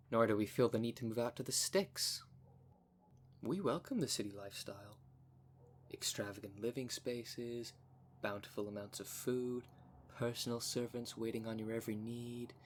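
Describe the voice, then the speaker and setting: A man's voice: on the low side, a little hiss or hum, lightly acted. A man voicing a vain, flirtatious, snobbish werecat socialite in a scripted roleplay spoken to the listener, with a pompous, haughty manner. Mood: haughty, boastful. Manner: pompous, haughty, smooth-talking. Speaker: voice actor in audio roleplay.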